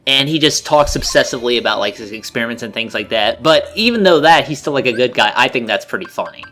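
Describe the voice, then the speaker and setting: A man's voice: medium pitch, animated, fast, sharp tone. A man in casual, joking, sweary chat as co-commentator on a game playthrough. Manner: joking, profane.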